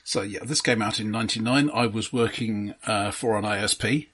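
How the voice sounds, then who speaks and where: A man co-hosting a casual film discussion, explaining his points in a halting way and often repeating words. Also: fairly low pitch, fairly bright tone, breathy edge. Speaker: podcast co-host.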